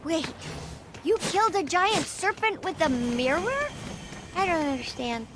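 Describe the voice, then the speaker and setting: A woman's voice: very high voice, animated, a little slow, heavily acted. A woman playing a curious, puzzled young listener in a video game, questioning, with ambient noise behind.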